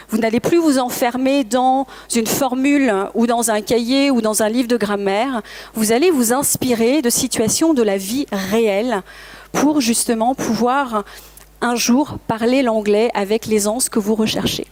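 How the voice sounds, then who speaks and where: A woman's voice: fairly high pitch, a little mellow. A woman whose first language is English gives a presentation in French at a trade fair, speaking earnestly to her audience and sometimes correcting herself. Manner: earnest, motivational, addresses the audience directly; occasional self-corrections and hesitations. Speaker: conference speaker, language school founder. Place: talk at a professional trade fair.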